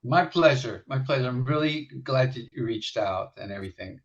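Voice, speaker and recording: An older man from the Netherlands talks at length as a guest, telling stories and wandering off track with restarts and fillers. His voice sits at a middling pitch and sounds a little dull and lo-fi. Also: animated, moderate pace. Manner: rambling, with many restarts and fillers. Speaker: musician guest. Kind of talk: casual interview storytelling.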